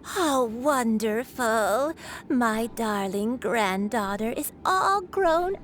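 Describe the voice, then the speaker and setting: A woman's voice: a little high, animated, drawn-out words, slight background noise. A woman playing a frail, forgetful old grandmother in a video game, with a wistful, wandering manner. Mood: tender, confused. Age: older.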